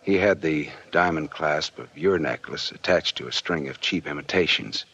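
An actor playing a hard-boiled, wry but decent American private detective in a 1940s radio drama, terse and slangy. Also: male voice, a bass voice, normal speed, sharp tone, slightly rough, full character voice. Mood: gentle, guarded. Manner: terse, wry, slangy tough-guy delivery. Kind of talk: 1940s radio noir acting and narration. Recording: dull vintage 1940s radio recording, organ-like music behind.

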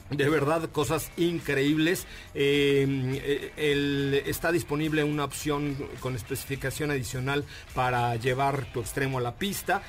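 A man with a Mexican Spanish accent hosting a radio car show, talking enthusiastically with jokes, slang and lots of fillers. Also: fairly high pitch. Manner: enthusiastic, jokey, slang-heavy, many fillers. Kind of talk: casual radio chat.

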